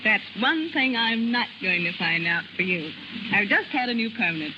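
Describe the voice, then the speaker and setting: A woman's voice: medium pitch, expressive, moderate pace. A woman guest telling scripted anecdotes on 1930s radio in a dry, wry manner. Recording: noisy, muffled 1930s radio broadcast.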